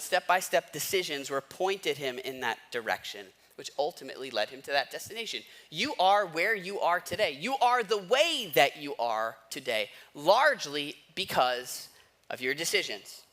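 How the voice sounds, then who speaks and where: A man's voice: high-pitched, sharp tone. A man giving a conversational sermon as a pastor, asking rhetorical questions and repeating key phrases for emphasis.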